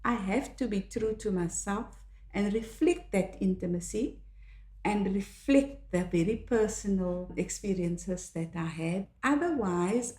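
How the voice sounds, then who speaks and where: An older woman from South Africa, a native Afrikaans speaker with a South African accent, reflecting on her own life in a measured, earnest way. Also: medium pitch, dark tone. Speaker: author and podcast host. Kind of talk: reflective personal monologue. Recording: echoey room.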